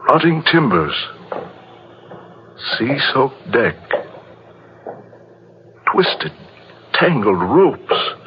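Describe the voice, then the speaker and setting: A man's voice: medium pitch, very animated, drawn-out words, pauses often. A man playing a brusque, superstitious ship's officer with brooding, dramatic delivery in an old radio drama. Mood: hushed dread. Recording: muffled, noisy old radio recording.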